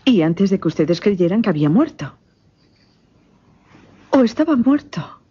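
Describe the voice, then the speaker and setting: Anxious, husky-voiced young lady. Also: mid-range voice, big pitch swings, a little slow, dark tone. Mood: confused, incredulous. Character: anxious, incredulous young lady questioning a witness. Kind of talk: suspense radio theatre acting. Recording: dull, muffled radio recording.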